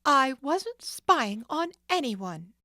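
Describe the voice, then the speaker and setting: A woman's voice: high voice, big pitch swings, normal speed, fairly bright tone, no room sound, clean studio sound, pitched above the performer's own voice. A woman voicing a brave young girl detective who answers back coolly and defiantly. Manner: cool and defiant, firm.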